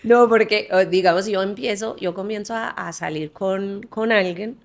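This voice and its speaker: An American-born woman in her forties, a native English speaker, talks as a podcast guest. Her voice carries a gravelly fry, and her pitch sits in the middle for a woman. She tells her story in an animated way, laughing often and restarting phrases. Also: normal speed. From United States. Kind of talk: candid conversational storytelling.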